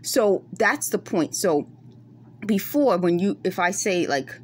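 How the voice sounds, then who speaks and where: A woman's voice: fairly low pitch, expressive, slow pace, slight background noise. A middle-aged woman from the Bronx with a New York accent tinged by West Indian roots, talking earnestly into her phone in a dim room at night. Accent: Bronx New York with West Indian traces. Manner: earnest, insistent, explains in circles. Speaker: vlogger. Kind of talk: casual monologue to camera. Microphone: phone camera close up.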